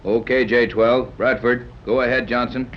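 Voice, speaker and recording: An actor playing a brisk, commanding, skeptical sea captain in a 1930s radio serial. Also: male voice, medium pitch, steady tone, a little hiss or hum, natural voice. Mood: radio talk. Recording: dull old radio recording.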